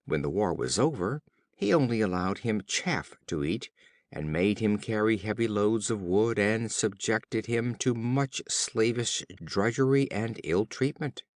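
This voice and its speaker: A low-voiced man reads scripted children's fables as an audiobook narrator. His telling is slow and formal, with pitch that rises and falls freely. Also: expressive, no echo, clean studio sound. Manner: measured, formal storytelling.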